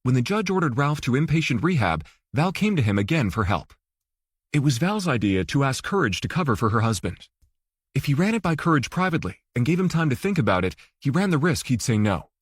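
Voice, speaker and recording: A man's voice: medium pitch, very animated, a little fast, breathy edge, no room sound, studio quality. A man reading scripted fiction as an audiobook narrator.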